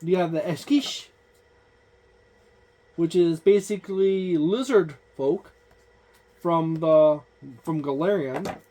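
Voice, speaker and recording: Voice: medium pitch, mellow. Casual hobby reviewer, unscripted listing delivery, lots of fillers and self-corrections. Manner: halting, frequent "uh" fillers and restarts while listing entries; offhand, informal wording. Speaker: tabletop game book reviewer. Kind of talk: casual page-by-page book overview.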